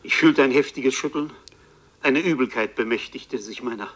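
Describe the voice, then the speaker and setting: A man acting a haunted bookseller from a radio play, telling his strange ordeal as a first-person narrator. His delivery is literary and deliberate, with a dramatic intensity at a middling male pitch. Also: a bit bright, natural voice. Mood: fatalistic, dramatic.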